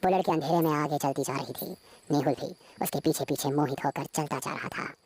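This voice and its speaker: A woman's voice: deep voice, fairly quick, a little mellow, breathy edge. A woman reading a scripted horror story as its narrator.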